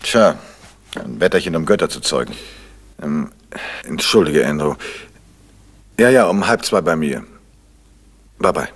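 An actor playing a suave, polite crime novelist and amateur detective, smooth and understated, in a German radio play. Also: male voice, very deep voice, animated, measured pace, pauses often, heavily acted. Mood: affable. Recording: faintly noisy vintage radio play recording.

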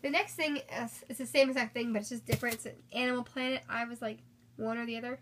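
A woman's voice: a little high, steady tone, fast, a bit bright, a little hiss or hum. A young woman chatting casually through a shopping haul, slightly congested from a cold, filmed on a handheld phone at home. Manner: chatty, upbeat, with frequent fillers. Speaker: haul vlogger. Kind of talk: casual haul video chat. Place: indoors at home, filming items on a bed.

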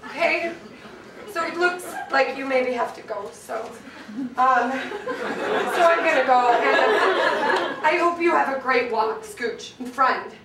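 A woman's voice: very high voice, expressive, a little slow, distant mic. A woman acting as a sweet, gushing neighbor who adores a dog, in a live comedy sketch. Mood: earnest, wounded. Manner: cooing, effusive baby talk to a dog. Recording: echoey stage from afar, audience crowd.

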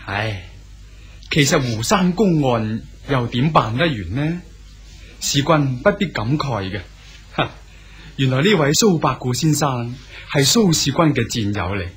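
Friendly poet host from a cantonese radio play, raspy-ish, bouncy pitch. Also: male voice, expressive, measured pace. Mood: genial. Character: genial poet host, man. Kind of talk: Cantonese radio drama acting.

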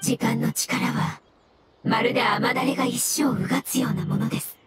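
A high-pitched, gentle mushroom spirit from a fantasy game, acted by a woman. The creature speaks politely and earnestly in a composed, formal way, with prominent music playing behind. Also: fairly even intonation, moderate pace, lightly acted. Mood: earnest, grateful. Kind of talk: Japanese game voice acting. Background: background music.

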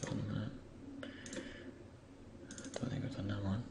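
A man with a croaky, just-woken voice gives an unscripted software tutorial, hesitantly thinking aloud as he goes. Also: lowish voice, flat delivery, a little slow. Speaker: tutorial presenter. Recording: faint background noise, keyboard and mouse clicks.

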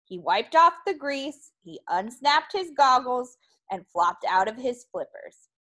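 A woman teacher reading a picture book aloud to young children in an animated, expressive way. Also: sits high, a little slow.

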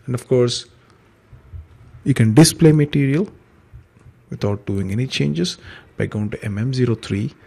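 Guy walking thru software steps, kinda raspy and creaky, casual explainer tone. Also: mid-range voice, lively intonation, a little slow, long pauses. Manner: explanatory, conversational, uses examples. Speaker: software trainer, instructor. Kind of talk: informal step-by-step screen tutorial narration.

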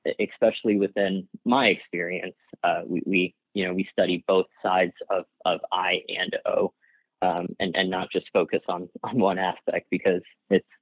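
A man's voice: low-pitched, breathy edge, no room sound. A man whose first language is Gujarati, answering interview questions casually, with frequent restarts and fillers and light laughs. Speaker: guest, workplace psychologist. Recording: tinny phone-like line, dry.

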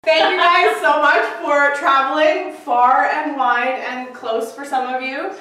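Young woman organizer, high voice, welcome speech, far camera in a very echoey noisy room. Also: fairly even intonation, a little slow, over music. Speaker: retreat organizer. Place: living room of a rented house.

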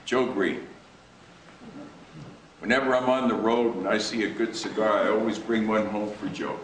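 A man's voice: on the low side, steady tone, normal speed, lightly acted. An older actor with an American accent playing a folksy, wisecracking old team owner in a one-man stage play. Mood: fond reminiscing. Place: theater stage before an audience. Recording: echoey theater, distant mic, loud noise.

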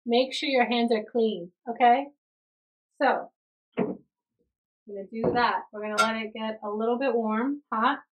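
A woman's voice: fairly high pitch, steady tone, a little slow, long pauses, lo-fi sound. A young woman talking casually to her viewers as she shows how she cooks in her home kitchen, chatty and enthusiastic. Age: young adult. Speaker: cooking vlogger. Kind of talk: casual cooking demonstration. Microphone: camera on a stand, close for talking and a bit further back at the stove.

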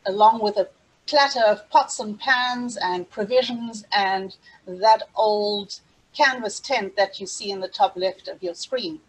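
A woman's voice: medium pitch, slightly rough, poor audio. A middle-aged woman with a South African accent, presenting a webinar over a video call in an earnest, scripted way with small restarts. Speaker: safari travel specialist hosting a webinar.

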